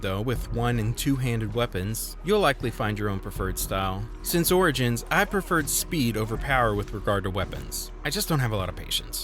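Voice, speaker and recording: A man's voice: mid-range voice, fairly bright tone. A man reading a scripted game review voice-over with dry, self-deprecating humor and sarcastic asides.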